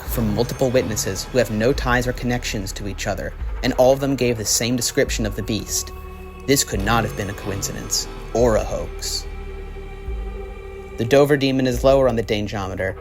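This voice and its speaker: A young man reading scripted horror documentary narration in an ominous, dramatic storytelling way. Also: mid-range voice. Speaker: video essay narrator. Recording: music under the voice. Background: background music.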